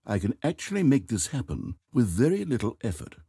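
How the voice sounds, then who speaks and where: An actor voicing a video game's narrator: a man with a polite, theatrical manner, breathy and fretful. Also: mid-range voice, big pitch swings, fairly quick, warm tone, no echo, exaggerated voice. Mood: smug. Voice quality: breathy, dry close-miked studio voice. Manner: polite, fretful, swinging between grandeur and self-doubt. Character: fussy, theatrical male narrator of a video game. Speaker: game narrator. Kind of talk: scripted game voice acting. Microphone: studio microphone.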